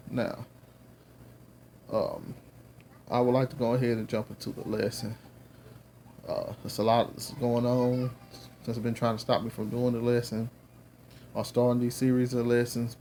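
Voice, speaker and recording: Gravelly Southern man teaching. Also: medium pitch, warm tone, slight background noise. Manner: earnest, rambling, many fillers and restarts. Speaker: Bible teacher. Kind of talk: home-recorded Bible lesson. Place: home with children and babies around.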